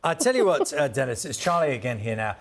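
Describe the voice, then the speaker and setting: A man's voice: medium pitch, sing-song, fast, gravelly. A man presenting live news, interviewing conversationally and prompting with questions.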